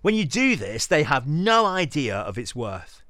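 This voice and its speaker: A man giving a dramatic reading of a sermon, theatrical and exhorting, addressing the listener directly with deliberate pauses. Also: on the high side, very animated, sharp tone, no room sound, studio quality. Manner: direct rhetorical questioning of the listener. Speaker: podcast host reading scripture.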